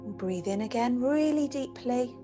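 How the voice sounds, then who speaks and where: A woman leads a guided imagery relaxation for children, speaking gently and soothingly in unhurried phrases with pauses. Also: fairly high pitch, animated. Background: music and rain sounds.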